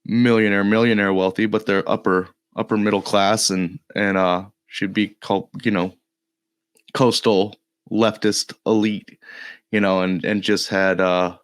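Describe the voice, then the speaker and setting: Male podcast guest, low and a touch gravelly from feeling unwell, halting casual chat, dry sound. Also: level tone, no echo. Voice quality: slightly gravelly. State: a little under the weather.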